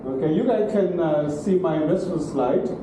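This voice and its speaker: A man's voice: on the high side, normal speed. A middle-aged man giving a university lecture in broken English, checking in often with "okay?" and "right?". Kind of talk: classroom lecture. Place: large classroom. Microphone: far room camera. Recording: very echoey classroom from far off, noisy.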